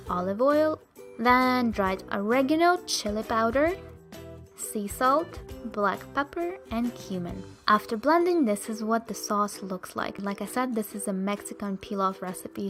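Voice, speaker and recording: A woman's voice: on the high side, fairly bright tone. Cheerful Russian-accented woman vlogger. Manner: upbeat, chatty, addresses viewers as "you guys". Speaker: cooking vlogger. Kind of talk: voice-over recipe narration.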